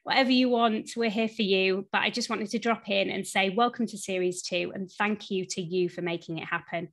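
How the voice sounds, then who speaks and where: A woman's voice: medium pitch, steady tone, a little fast, a bit bright. Effusive woman hosting a podcast. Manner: effusive, encouraging. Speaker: podcast host. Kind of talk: scripted intros and chatty interview.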